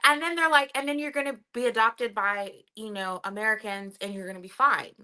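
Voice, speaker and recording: Woman from Cameroon, young adult, bright clear voice, chatty, lots of fillers. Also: on the high side, a little fast. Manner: chatty, many fillers, laughs while telling her story. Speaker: podcast guest. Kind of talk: casual podcast interview.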